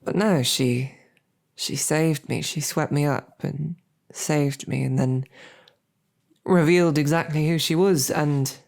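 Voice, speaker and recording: Woman acting a low-voiced knight, smitten and halting. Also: fairly even intonation, creaky, darkish tone, full character voice. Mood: wry, animated. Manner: halting, self-deprecating, colloquial tag questions. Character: smitten lowborn female royal guard, snarky, awkward, earnest knight. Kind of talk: scripted audio roleplay, halting heartfelt banquet speech.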